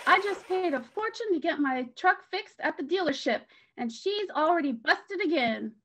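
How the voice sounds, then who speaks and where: An actress playing an exasperated, folksy truck owner exclaiming in old-timey 1940s radio ad style. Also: female voice, sits high, animated, normal speed, very noisy. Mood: exasperated, curious.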